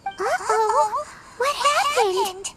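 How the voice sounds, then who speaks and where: An actress voicing a tiny, curious, childlike fairy companion in a video game, squeaky, naive and excitable. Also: female voice, extremely slow, full character voice. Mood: startled. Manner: naive, speaks of self in third person. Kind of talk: cartoonish video game voice acting. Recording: loud game music under the voice.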